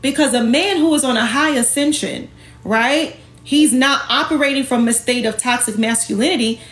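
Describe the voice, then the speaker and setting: A woman with a notably high voice hosts an impassioned livestream for her followers. She is sassy, emphatic and ready to confront, talking in a loose colloquial way and driving points home with questions. The room rings with echo, and soft music sits behind her. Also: moderate pace. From Jamaican heritage. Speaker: online host and women's empowerment speaker.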